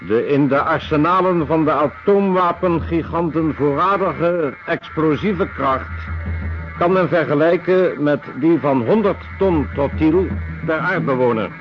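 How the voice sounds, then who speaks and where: An actor playing a sober reader who delivers grim facts formally and deliberately in a radio production. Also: male voice, on the high side, lightly acted. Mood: sober, factual. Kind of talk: formal scripted documentary-like reading. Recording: dull radio recording with music underneath.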